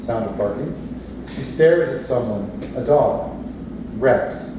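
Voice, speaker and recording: A man's voice: medium pitch, takes it slowly. A man reading stage directions as scripted narration at a staged play reading. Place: theatre space, recorded from audience distance. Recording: echoey, distant, noisy room recording.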